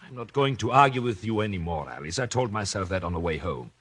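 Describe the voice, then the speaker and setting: An actor in a 1940s radio drama playing an educated, scheming doctor and unhappy husband, with polite, hesitant, formal diction. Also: male voice, fairly low pitch, animated, quick pace, a bit bright, breathy edge. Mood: uneasy, placating. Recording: old radio recording with music.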